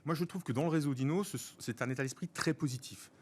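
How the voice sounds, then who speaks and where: Measured French-speaking man, explanatory. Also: a little high, a little mellow. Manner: measured, explanatory, cites figures. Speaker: guest, network organizer. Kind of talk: radio interview answers.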